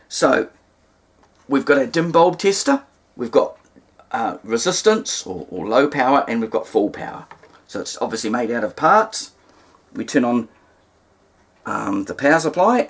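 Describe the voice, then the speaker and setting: Kiwi presenter doing an unscripted demo, mid pitched voice, hesitant, thinking out loud, careful explaining. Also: very animated, normal speed, long pauses, husky. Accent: New Zealand. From New Zealand. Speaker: presenter of a vintage radio repair demonstration. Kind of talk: unscripted hands-on demonstration talk. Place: indoors, at a table in a room.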